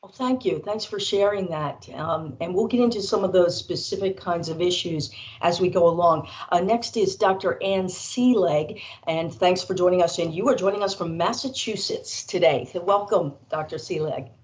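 A woman with a slightly low voice moderates a video meeting. She hands over to the next speaker with brief, polite words. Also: moderate pace, hardly any pauses, a little mellow. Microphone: video call.

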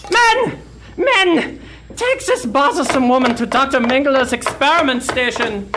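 A woman's voice: high-pitched, animated, a little slow, background noise. A woman playing a pompous, cowardly male dictator in comic radio theater, theatrical and sputtering. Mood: menacing, haughty.

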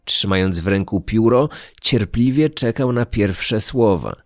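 A man narrating a scripted true-crime story in a measured, serious way. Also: on the low side, expressive, dry recording, clean studio sound. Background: background music.

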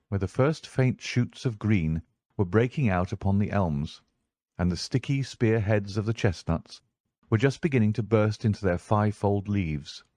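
A man narrates a literary audiobook in a formal, measured way. His voice sits fairly low, and the recording is dry with little room around it. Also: a little mellow, no room sound.

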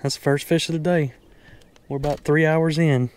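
A man's voice: a little high, steady tone, normal speed, darkish tone. A man chatting casually to camera as a folksy fishing vlog host, out on a small boat. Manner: folksy, addresses viewers as folks. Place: outdoors on a small boat on a lake. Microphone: action camera worn on or near the body. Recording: outdoor noise on action camera.

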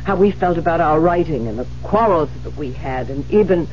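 An actress playing a distraught, guilt-haunted widowed writer, stammering and pleading in 1970s radio drama style. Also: female voice, lively intonation, brisk. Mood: insistent, agitated. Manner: stammering, pleading, highly emotional. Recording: muffled, noisy vintage radio broadcast.

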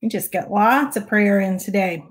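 A middle-aged woman with a Texan accent and a raspy voice, casually greeting viewers on a home livestream, often clearing her throat. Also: medium pitch, normal speed. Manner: relaxed, clears throat often, chuckles softly. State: raspy voice from little sleep. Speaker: prayer livestream host. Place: home study with bookshelves. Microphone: clip-on lapel mic, webcam.

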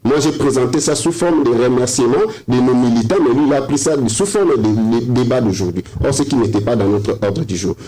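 A man's voice: mid-range voice, a little fast, hardly any pauses, dark tone, rough recording. A man with a Congolese accent arguing as a panelist in a televised political debate, emphatic and repeating himself for force. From Democratic Republic of the Congo. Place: TV studio round table. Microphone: desk microphone.

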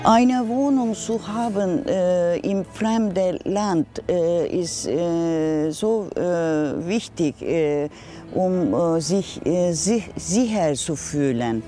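An older woman from Turkey with a Turkish accent, speaking haltingly with many fillers, outdoors by a river. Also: lowish voice, expressive, mellow, soft background music. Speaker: interviewee.

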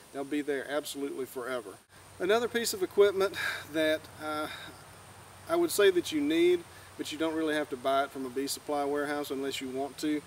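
A young man giving an informal, plain-spoken, folksy instructional talk to camera outdoors. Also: fairly high pitch. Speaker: beekeeper giving a tutorial. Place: outdoors in a grassy clearing by woods. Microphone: far camera. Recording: distant camera mic, outdoor background noise.

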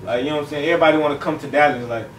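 A man's voice: a little high, very fast. A young man from Fort Worth, talking loosely and full of slang as a guest in a casual interview. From Fort Worth, Texas. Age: young adult. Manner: slangy, loose, rambling with fillers. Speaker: rapper guest. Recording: roomy, distant, faint hiss.

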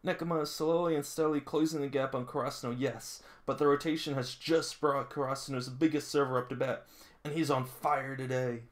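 Man acting out excited sports announcer, raised pitch, animated, emphatic volleyball play-by-play, manga read-along. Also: brisk, lightly acted.

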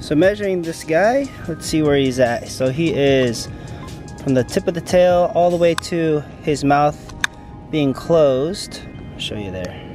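A man's voice: a little high, expressive, a little slow. A man in his thirties, presenting an instructional vlog in a casual, step-by-step manner. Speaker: fishing vlogger presenting a tutorial. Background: background music.

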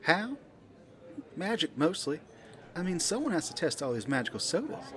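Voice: mid-range voice, big pitch swings, normal speed, crowd noise, close to an everyday voice. An amateur actor voicing a flirty, motherly pink balloon elephant who serves at a soda bar, with a folksy, coaxing manner in an audio play. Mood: flirty, playful. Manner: folksy, coaxing, calls listener sweetie and dear. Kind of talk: amateur audio play, second-person roleplay monologue.

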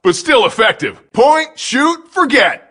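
An actor playing a cocky, taunting flying robot in a video game, calling out brash, slangy combat barks with a mocking edge. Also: male voice, very high voice, big pitch swings, a little slow, sharp tone, breathy edge, heavily acted.

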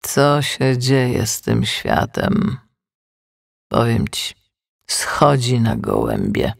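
A man's voice: medium pitch, wildly swooping pitch, extremely slow, gravelly, no room sound, studio quality, heavily acted. A man voicing a cheeky male tern who loves conspiracies and talks in slangy, dramatic, suspicious bursts. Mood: astonished.

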